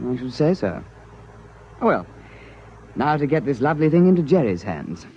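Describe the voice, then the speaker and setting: An actor playing a mild, polite and somewhat hesitant intelligence official in a 1950s radio drama. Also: male voice, medium pitch, sing-song, normal speed, over music, close to an everyday voice. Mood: brisk. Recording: muffled 1950s radio recording, faint noise.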